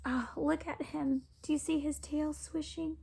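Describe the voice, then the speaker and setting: A woman with a high, creaky voice reads a picture book to children. She goes slowly and expressively, with hushed asides to her young listeners. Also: fairly even intonation. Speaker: children's storyteller.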